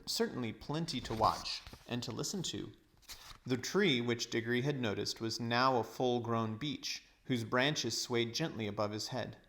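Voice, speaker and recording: A man reads a storybook aloud in a medium-pitched voice. His narration is measured and expressive, like a teacher reading to a class.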